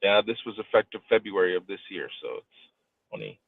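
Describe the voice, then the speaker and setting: A man explaining things in casual talk with measured, deliberate phrasing, heard through thin band-limited audio. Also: fairly low pitch, fairly even intonation, creaky, slightly rough, no echo, phone-quality sound.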